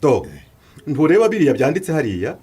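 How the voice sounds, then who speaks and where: A man's voice: on the high side, very animated, moderate pace, dark tone, exaggerated voice. An actor playing a stubborn older father who grumbles and brushes off his son. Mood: defensive. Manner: gruff, dismissive.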